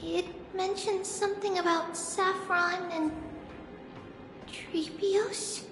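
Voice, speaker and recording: A woman's voice: very high-pitched, fairly even intonation, heavily acted. A woman voicing a small, sweet, curious and earnest childlike girl in a video game. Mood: puzzled, uneasy. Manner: childlike, slow and wondering. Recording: echoey reverberant hall with music behind.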